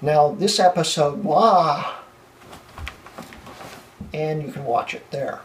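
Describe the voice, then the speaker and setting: A man's voice: a little high, takes it slowly. A young man hosting a tech vlog, stumbling through retakes, laughing at his mistakes and losing his voice. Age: young adult. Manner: flustered, laughing at his own slip-ups. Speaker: tech channel host. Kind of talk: blooper reel of a tech vlog. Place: small home room full of computers. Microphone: camera mic from a few feet away. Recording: distant camera mic, faint room hiss.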